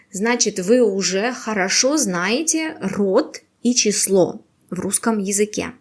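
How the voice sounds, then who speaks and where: A woman teaching a beginner language quiz, with patient, slow and carefully exaggerated pronunciation. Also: mid-range voice, breathy edge.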